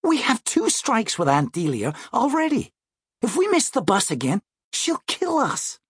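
A man doing a high, exasperated little girl. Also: wildly swooping pitch, unhurried, a bit bright, breathy edge, no room sound, studio quality, heavily acted. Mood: urgent, exasperated. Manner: urgent, questioning. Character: exasperated, worried sixth-grade little sister. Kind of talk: audiobook character voice.

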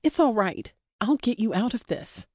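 A woman's voice: on the high side, very animated, moderate pace, a little mellow, no echo, studio quality, voice pushed up. A woman voicing a defiant young female captive in an audiobook, urgent and pleading.

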